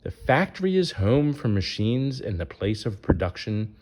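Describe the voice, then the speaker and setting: A man's voice: on the low side, sing-song, normal speed, darkish tone. A man reading a rhyming children's picture book aloud in a sing-song way, putting questions to young listeners.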